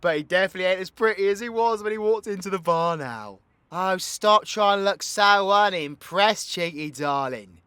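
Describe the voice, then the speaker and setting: A man's voice: a little high, pushed and bright, no echo. A British man playing a cocky, foul-mouthed but protective supervillain in an audio roleplay, with brash, teasing, slangy delivery. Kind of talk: audio roleplay acting addressed to the listener.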